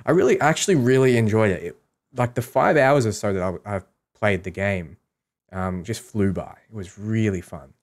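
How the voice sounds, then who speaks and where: A man's voice: fairly low pitch, normal speed. A man giving casual, explanatory commentary on a game, talking through his strategy as a streamer.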